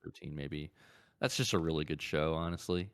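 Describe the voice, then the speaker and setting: A man in his thirties co-hosting a podcast, chatting casually in a laid-back, slightly halting way. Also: rumbling low, clean tone, dry recording, clean studio sound. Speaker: podcast co-host. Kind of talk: casual chat.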